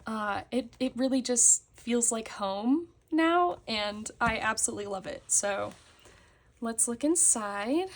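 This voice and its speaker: A young woman, slightly hoarse after a concert, chatting casually as she shows her planners on camera. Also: fairly high pitch, expressive, takes it slowly. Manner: chatty, with fillers and frequent laughs. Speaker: planner vlogger. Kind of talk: casual show-and-tell chat. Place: indoors at a desk, hands on a table.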